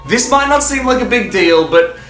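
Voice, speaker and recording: A man's voice: very high voice, lively intonation, a bit bright, faint music behind. Emphatic man vlogging in a roomy space. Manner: emphatic, stop-start delivery with pauses for breath. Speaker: video host and fan reviewer. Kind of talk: enthusiastic, informal video commentary.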